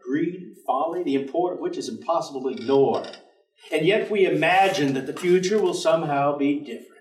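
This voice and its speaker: An actor playing a weary, stubborn intellectual professor in a staged play reading, speaking in a dry, precise and formal way. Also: male voice, on the high side, darkish tone, lightly acted. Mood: bleak. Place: indoor theater stage. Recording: echoey theater, distant microphone.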